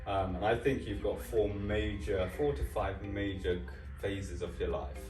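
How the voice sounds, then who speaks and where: A man's voice: fairly low pitch, steady tone, moderate pace, darkish tone. A man hosting a casual interview, upbeat and playful, with some filler ums. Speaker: host, co-founder. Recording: roomy sound from a distant mic with music.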